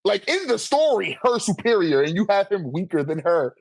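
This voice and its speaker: A man's voice: high-pitched, sing-song, normal speed, gravelly, dry recording, low-quality recording. A man in a casual, argumentative debate, talking animatedly with heavy slang and swearing. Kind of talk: casual slangy debate about manga rankings.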